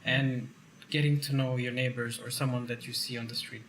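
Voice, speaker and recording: A man's voice: mid-range voice, flat delivery, brisk, fairly bright tone, a little hiss or hum, low-quality recording. A man speaking conversationally as the interviewer in a group discussion. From immigrant who grew up partly in Finland.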